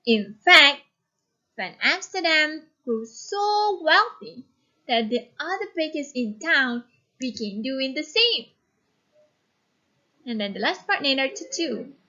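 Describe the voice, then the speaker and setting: Female teacher-storyteller: high-pitched, animated, deliberate pace, instructive phrasing, slightly muffled audio. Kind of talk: expressive story reading and lesson instruction.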